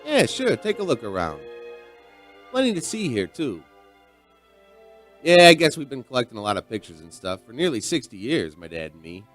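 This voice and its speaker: Folksy bartender, animated storyteller. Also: male voice, mid-range voice, moderate pace, pauses often, soft background music. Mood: affable, chatty. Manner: folksy, rambling, conversational. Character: chatty, folksy male bartender and bar owner, storyteller. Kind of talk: radio drama monologue.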